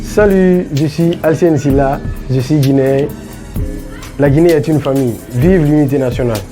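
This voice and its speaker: A man from Guinea with a Guinean French accent reasoning in a measured way in a street interview. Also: fairly high pitch, music in the background.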